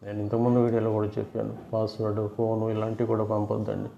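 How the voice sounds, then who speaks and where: A man's voice: fairly low pitch, completely flat delivery, fast talker, vocal fry, mellow. A man explaining things casually to viewers as a video host, often repeating and rephrasing his points. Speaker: video host giving fraud awareness advice. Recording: roomy, dull lo-fi sound.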